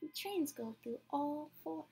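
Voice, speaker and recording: A woman in her twenties reading a picture book aloud to children, with playful, deliberate, dramatic phrasing, recorded at home in a small room. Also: high-pitched, lively intonation, dark tone, slight background noise. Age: 20s. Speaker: children's read-aloud presenter.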